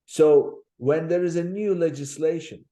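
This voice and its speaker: A man's voice: fairly high pitch, measured pace, warm tone. A man hosting an interview, chatty and prone to long storytelling asides with hesitant fillers. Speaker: podcast host.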